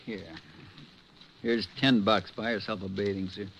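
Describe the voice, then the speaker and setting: An actor playing a sarcastic, irritable wealthy husband in a 1950s radio drama, biting and dismissive. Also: male voice, fairly low pitch, steady tone, unhurried, gravelly, a bit bright, slightly rough, exaggerated voice, rough recording.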